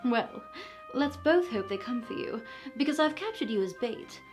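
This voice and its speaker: A woman playing a mockingly polite smuggler boss villainess who taunts a captive with deliberate, condescending menace in a fantasy roleplay. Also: fairly high pitch, lively intonation, faint music behind, close to an everyday voice. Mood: smug scheming. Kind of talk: point-of-view fantasy roleplay.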